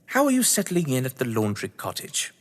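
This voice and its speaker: A man's voice: medium pitch, wildly swooping pitch, a little fast. Man playing posh jolly english crime writer, hammy and effusive, voice swoops about, big echoey reverb. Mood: genial. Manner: genteel, effusive, theatrical. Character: Andrew Wyke, jovial theatrical English crime novelist, lord of the manor. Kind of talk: stage thriller audio drama acting. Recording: long reverberant hall echo.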